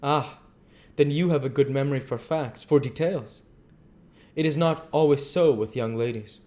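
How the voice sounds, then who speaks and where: Courtly older gentleman doctor performed by a male reader: raised pitch, loud and emphatic, effusively polite audiobook character. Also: normal speed, natural voice. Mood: earnest, inquiring. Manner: effusive, courtly, stilted phrasing.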